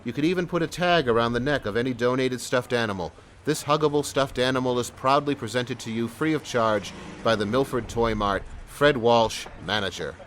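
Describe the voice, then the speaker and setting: An actor playing an earnest, smooth-talking police sergeant who tells his story in an audio drama. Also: mid-range voice, fairly quick, few pauses, fairly bright tone, a little hiss or hum, close to an everyday voice. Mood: coaxing. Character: persuasive, good-hearted male police sergeant and storyteller. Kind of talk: audio drama acting and first-person narration.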